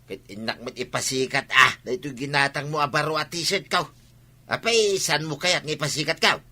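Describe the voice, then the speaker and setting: A man's voice: a little high, normal speed, pushed and bright. An actor playing a cheerful, vain village man with an Ilocano Filipino accent, boasting and exclaiming in a comic radio drama. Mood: boastful.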